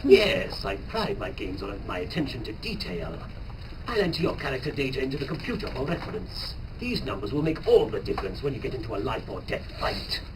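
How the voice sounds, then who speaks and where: A man's voice: medium pitch, sing-song, brisk, pitched below the performer's own voice, lightly acted. A man playing a sinister, formal game master villain in a theatrical, menacing live manga reading. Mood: smug. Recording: noisy live convention recording, far from mic.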